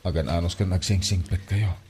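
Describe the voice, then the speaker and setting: A man with an Ilocano accent playing a gentle, concerned older father in an audio drama, giving fatherly advice. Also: low voice, steady tone, brisk, slight background noise.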